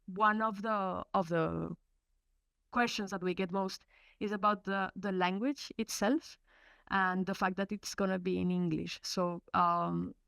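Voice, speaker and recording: A woman's voice: medium pitch, fairly even intonation, a little slow, gravelly, a bit bright, clean tone, no echo. A woman from Colombia, an English speaker, presenting in a webinar with many fillers and restarts. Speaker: research program presenter. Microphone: video call.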